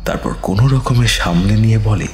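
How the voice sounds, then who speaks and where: A man narrating a scripted ghost story in a slow, suspenseful manner. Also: fairly low pitch, expressive, vocal fry, a little mellow, roomy sound, slight background noise.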